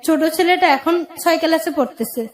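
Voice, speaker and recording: A woman's voice: extremely high pitch, normal speed. A woman in her thirties with a Bangladeshi accent, telling her own life story as a guest in plain, colloquial, plaintive speech. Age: 30s. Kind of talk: personal account in colloquial speech.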